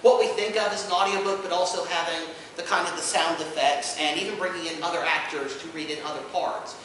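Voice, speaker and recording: A man giving an informal panel presentation to an audience, an enthusiastic explainer who speaks haltingly with many asides. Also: on the high side, steady tone, a bit bright. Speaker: convention panelist presenting audio drama releases. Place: indoor convention panel room. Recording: echoey room from far off, noisy.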